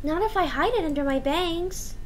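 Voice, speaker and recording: A woman playing a sweet, clumsy, cheerful teenage girl in a visual novel, with a cutesy, expressive delivery. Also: extremely high pitch, moderate pace, slight background noise. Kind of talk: visual novel character dialogue.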